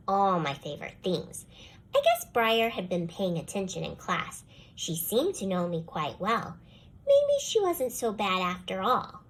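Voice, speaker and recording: A woman voicing a young schoolgirl telling a first-person storybook tale with expressive, put-upon delivery. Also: mid-range voice, poor audio. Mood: softened, warm. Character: jealous young schoolgirl, grudgingly softening toward the dog. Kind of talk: scripted children's storybook read-aloud.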